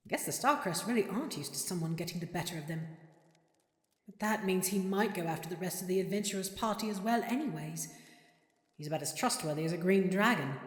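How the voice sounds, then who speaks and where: In a long, echoing reverb, a woman acts out a wry kidnapped half-elf merchant for an audio roleplay. She mutters sarcastically in a slightly low voice, with very animated, exasperated inflection. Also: close to an everyday voice. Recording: echoey, long hall reverb.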